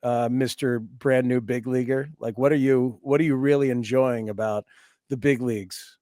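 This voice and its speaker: A man's voice: mid-range voice, fairly even intonation, moderate pace, mellow, no echo, clean studio sound. A middle-aged man hosting a conversational sports interview, encouraging, repeating phrases as he forms his thoughts. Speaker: sports radio host, interviewer.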